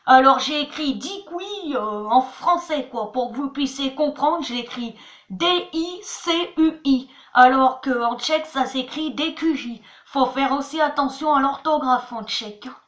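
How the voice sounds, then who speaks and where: A woman teaches a homemade lesson from her notebook in a high, bright voice. She takes her time, spelling each word out letter by letter and pausing on "voilà". Her voice rings around a bare, echoey room. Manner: chatty, repeats "voilà" and "attention", spells words letter by letter. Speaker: channel host teaching words.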